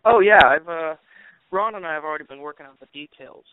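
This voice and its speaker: A film director from Colorado, talking casually and joking in an interview with plenty of fillers. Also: male voice, a little high, fast talker, smooth, no echo. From Colorado, USA. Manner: joking, talkative, thinks aloud with fillers. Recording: tinny phone line.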